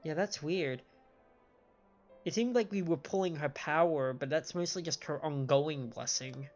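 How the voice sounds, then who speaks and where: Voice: medium pitch, a little slow. A game streamer chats casually and analytically during play. The delivery is explanatory and conversational. The mic sounds a little lo-fi, with music faintly underneath. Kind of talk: casual live game commentary.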